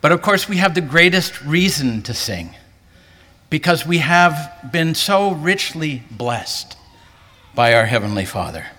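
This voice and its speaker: Man preaching with bright tone in big hall. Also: big pitch swings, unhurried. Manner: earnest, rising pitch for emphasis. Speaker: pastor. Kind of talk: sermon. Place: large hall, preaching to a convention. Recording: hall reverb.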